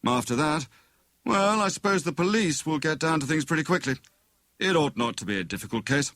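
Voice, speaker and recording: A man's voice: a little high, animated, brisk, fairly bright tone, no room sound, clean studio sound, pitched above the performer's own voice. A man voicing a big, matter-of-fact British doctor with an authoritative, clipped manner in an audiobook.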